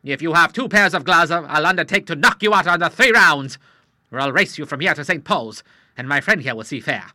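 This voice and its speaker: A man voicing a hot-tempered retired admiral of sixty-three, speaking loudly and with affront. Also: on the high side, lively intonation, fairly quick, dry recording, clean studio sound, voice pushed up. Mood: indignant. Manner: loud, blustering and affronted.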